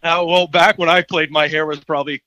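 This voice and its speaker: A man with a raspy voice, answering interview questions in an easygoing way as a guest. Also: fairly high pitch, steady tone, fairly quick, bright, forceful tone, no echo. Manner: easygoing, laughs often. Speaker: guest columnist.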